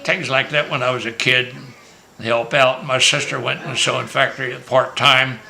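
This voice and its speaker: Older man, from Maryland, bright voice, rambling joking storyteller, family interview. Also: medium pitch, steady tone, normal speed, vocal fry, a little hiss or hum, poor audio. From Maryland, USA. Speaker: grandfather being interviewed. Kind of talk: casual family interview, reminiscing. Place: family home at a reunion.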